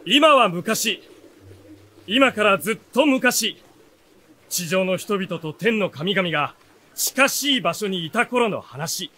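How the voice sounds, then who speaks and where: Radio drama lead: earnest bookish runaway boy, highish animated voice, expressive storytelling delivery. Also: slow, heavily acted. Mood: storytelling, lyrical. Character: earnest seventeen-year-old youth, bookish runaway storyteller. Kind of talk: radio drama narration and dialogue.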